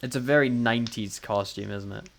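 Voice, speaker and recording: A man's voice: medium pitch, fairly quick, gravelly, a bit bright. A man co-hosting a podcast in casual banter, with wry, jokey asides. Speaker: podcast co-host.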